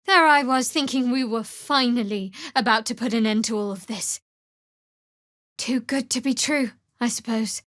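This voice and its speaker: A woman voicing a guarded, wry young female cleric of a dark goddess in a video game, speaking in a measured, dry way on a close mic. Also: on the high side, dry recording, lightly acted. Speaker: fantasy adventurer companion. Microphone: close, dry studio mic.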